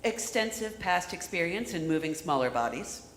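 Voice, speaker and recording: A woman's voice: lowish voice, lively intonation, normal speed, close to an everyday voice. A woman playing a powerful, composed chief executive in a live radio play, formal and self-assured. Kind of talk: live radio theatre acting. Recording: reverberant live hall, faint noise.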